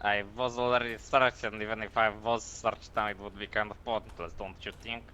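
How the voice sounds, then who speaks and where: A man with a fairly low yet bright, tense voice plays a detained suspect arguing his case. The microphone is dull, with faint noise behind him. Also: flat delivery, moderate pace. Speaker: player roleplaying a detained suspect.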